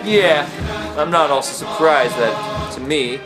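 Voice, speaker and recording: A man's voice: on the high side, slow pace. A man voicing a proud, optimistic Algerian in a stilted, formal way in an amateur puppet show. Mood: triumphant. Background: loud instrumental music.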